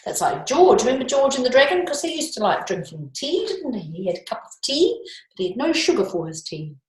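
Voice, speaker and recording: A woman with a New Zealand accent reading picture books aloud to young children, in an expressive sing-song way with chatty asides. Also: sits high, a little fast. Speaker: storytime reader for young children. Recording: echoey room.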